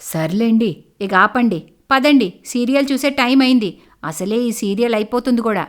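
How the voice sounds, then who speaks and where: Lady doing fed up middle aged mother in law, cartoon moral story, scoldy and weary, lively pitch. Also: moderate pace, close to an everyday voice. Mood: scolding.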